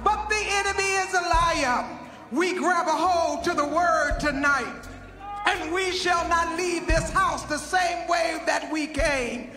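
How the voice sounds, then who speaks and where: A woman's voice: extremely high pitch, takes it slowly. A middle-aged woman leading an altar call with fervent, declamatory prayer, speaking into a handheld microphone. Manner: declamatory, emphatic. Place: large convention hall, at podium. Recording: echoey large hall, music behind. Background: music.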